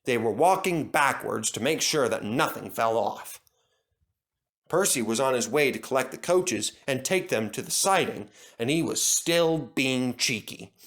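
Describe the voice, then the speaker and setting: Male narrator for children's stories, scripted read, expressive and varied pitch. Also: a little slow. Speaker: storyteller.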